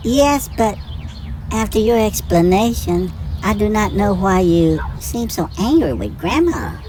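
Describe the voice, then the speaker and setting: A woman's voice: medium pitch, very animated, measured pace. A woman playing a curious, caring young son in a hobby audio drama, earnest and full of questions. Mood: earnest, questioning.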